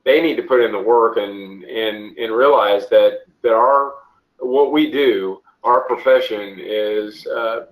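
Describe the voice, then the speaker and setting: A man giving an interview answer as a coordinator on a conference call, speaking haltingly with frequent restarts. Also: fairly low pitch, low-quality recording. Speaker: officiating coordinator, interview guest.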